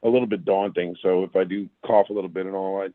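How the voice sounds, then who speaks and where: A man with a raspy voice and a sore throat, a retired army sergeant talking as a guest in a casual interview, plainspoken with folksy asides. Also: low-pitched, fairly even intonation, fast, no echo. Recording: tinny phone line, dry.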